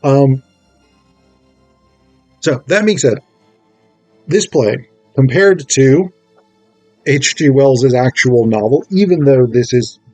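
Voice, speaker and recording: A man's voice: medium pitch, mellow, dry recording. A man giving a casual spoken review, enthusiastic and digressive, often thinking aloud as he goes. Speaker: amateur stage actor reviewing a play script.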